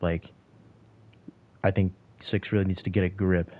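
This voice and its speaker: Deep-voiced young man, tinny phone-like sound. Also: level tone, normal speed. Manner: rambling, heavy on "like" and restarts. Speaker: streamer answering chat. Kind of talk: casual livestream chat.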